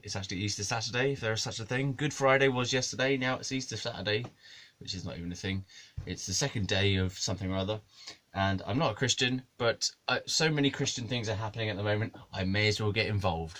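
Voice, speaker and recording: A man's voice: on the low side, pushed and bright. Rambling jokey man. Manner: jokey, digressive, swears, laughs at his own jokes. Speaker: musician and video maker. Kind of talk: rambling comic monologue to camera.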